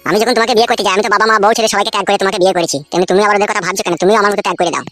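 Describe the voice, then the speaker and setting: A man voicing a whiny, hot-headed young village husband in a comic cartoon, complaining crudely and excitably. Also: high-pitched, very fast, soft background music. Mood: coaxing.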